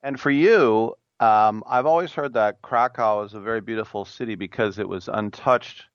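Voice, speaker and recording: A male interviewer with a low, smooth voice, putting his questions in a loose, conversational way. The recording is dry and a little dull, as if made close up in a quiet room. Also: normal speed, no echo. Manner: easygoing, chatty questions.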